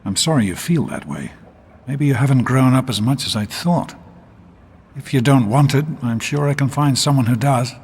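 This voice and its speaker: An actor playing a gentle, steady middle-aged father in a video game, speaking in a calm, measured, protective way. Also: medium pitch, creaky, breathy edge, big-room sound. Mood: disappointed.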